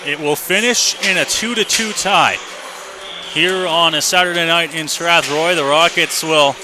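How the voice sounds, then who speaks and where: A man doing live radio play-by-play of a hockey game, in clipped running descriptions that can build to excited shouting. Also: a little high, unhurried. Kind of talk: live radio sports commentary. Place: indoor hockey arena. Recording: noisy live arena broadcast. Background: loud rink ambience.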